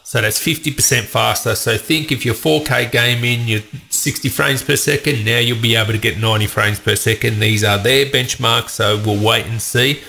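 A man's voice: medium pitch, normal speed, gravelly, few pauses, pushed and bright, slight background noise. A man with a cold and a congested voice, hosting a tech channel with chatty, opinionated commentary and rambling asides. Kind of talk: casual tech news commentary.

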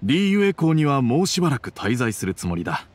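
A man playing a stern but awkwardly affectionate middle-aged father in an anime game, speaking formally and with measured care. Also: mid-range voice, big pitch swings. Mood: composed, warm. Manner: formal, measured, hesitant when showing feeling. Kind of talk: anime video game voice acting.

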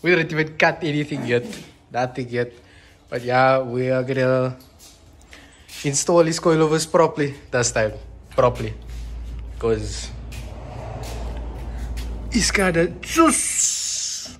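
A man's voice: medium pitch, slow pace, pauses often, a little hiss or hum. A young South African man vlogging casually in his home garage, laughing a lot and tossing in slang. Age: young adult. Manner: laughing often, slangy, says bruh a lot. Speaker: car vlogger.

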